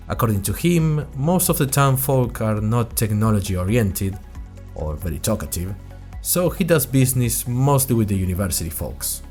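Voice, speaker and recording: A man's voice: on the low side, darkish tone, natural voice. A man playing an earnest young college student who tells his story in a wry, conversational way, as host of an audio drama diary. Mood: wry, chatty. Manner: conversational, wry, addresses listeners directly. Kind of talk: scripted first-person audio drama narration.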